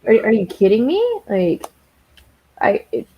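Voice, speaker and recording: A woman guest in an interview, a member of a victim's family, talking in an animated and exasperated way. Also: fairly high pitch, unhurried, dull audio. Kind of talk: casual interview talk.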